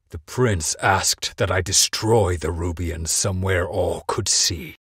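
An actor playing a stern, duty-bound old knight in a video game, husky-voiced and solemn. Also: male voice, low voice, a bit bright, no room sound, studio quality, heavily acted. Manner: solemn, measured. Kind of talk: dramatic game voice acting.

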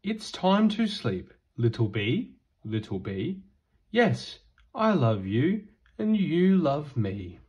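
A man reading a children's bedtime picture book aloud in a gentle, singsong rhythm. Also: fairly high pitch, big pitch swings, takes it slowly, husky.

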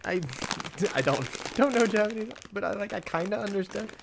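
A man's voice: high voice, expressive, moderate pace, gravelly, background noise. A young man rambling casually to himself while doing live commentary on an old movie, full of fillers and restarts. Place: at home.

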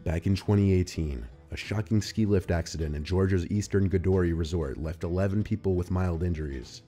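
A man reading scripted narration in a measured, serious manner. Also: sits low, warm tone, slightly rough. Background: music.

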